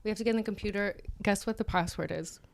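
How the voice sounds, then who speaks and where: A woman co-hosting a podcast in casual comedic chat, halting and often trailing off. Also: medium pitch, vocal fry, a little hiss or hum. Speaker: podcast co-host.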